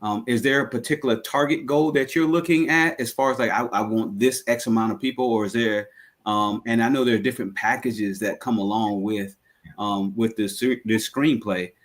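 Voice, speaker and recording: A man hosting a conversational interview, feeling his way through questions in halting phrases. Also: lowish voice. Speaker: interviewer, radio show host.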